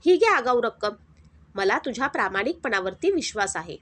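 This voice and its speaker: A woman's voice: fairly high pitch, big pitch swings, a little fast, fairly bright tone. A woman voicing a kind, trusting middle-aged shopkeeper in a storybook narration. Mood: generous.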